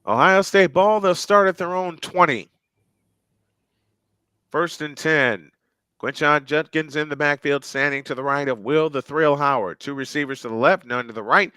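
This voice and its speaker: Guy calling football play by play, bright crisp voice, dry close mic. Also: mid-range voice, normal speed, dry recording. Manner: folksy, chatty storyteller between plays. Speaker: play-by-play announcer. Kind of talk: fan livestream play-by-play commentary.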